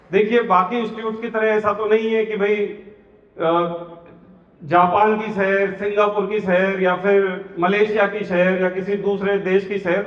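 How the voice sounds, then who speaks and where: A man who co-founded a coaching institute, giving an earnest promotional speech at a public event. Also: sits high. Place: large hall. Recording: echoey hall, dull PA sound.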